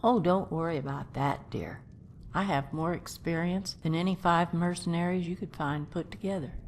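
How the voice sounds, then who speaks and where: A woman playing a feisty old grandmother adventurer in a video game, telling things in a folksy, unhurried way. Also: fairly low pitch, a little hiss or hum, natural voice. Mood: feisty, self-assured. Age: older. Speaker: companion character in a game mod. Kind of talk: voiced game character dialogue, storytelling.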